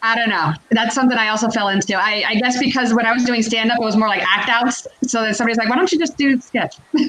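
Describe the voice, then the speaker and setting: A woman from Puerto Rico and Connecticut, a comedian guest chatting casually and talkatively in an interview, with an animated manner. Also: on the high side, fast, poor audio. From born in Puerto Rico, raised in Connecticut, United States.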